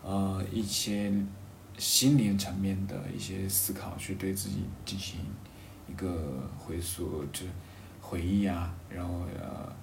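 A man with a fairly low voice talks casually and reflectively, feeling his way through each idea and often rephrasing. The room adds a clear echo, and there is faint noise behind him. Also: level tone. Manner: halting, rephrases thoughts as he goes.

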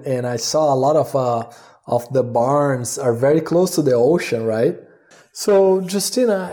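Male podcast host, dark relaxed tone, informal conversational interviewing. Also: medium pitch. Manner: informal, loosely phrased, conversational. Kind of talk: casual interview chat.

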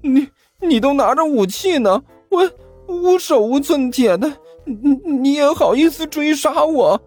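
A cheeky, cornered warrior of justice in a comic audio story. The whiny voice is very high and stutters through defiant retorts. It draws words out slowly with lively swings in pitch. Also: male voice, faint music behind. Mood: whiny protest.

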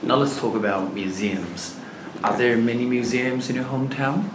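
A man runs a speaking-test interview, asking short questions at a mid pitch. The room is echoey and a clear background noise runs under his voice. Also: fairly even intonation, moderate pace. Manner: brief prompts, frequent backchannel mm-hmm. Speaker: examiner.

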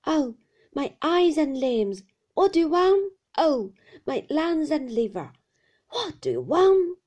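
A woman's voice: high-pitched, sing-song, slow, a little mellow, highs cut off, voice pushed up, exaggerated voice. A woman voicing a fierce, half-mad old male shopkeeper in a storybook, with a whining, throat-rattling, sing-song delivery. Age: older. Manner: wailing sing-song tune, rising and falling, exclamatory.

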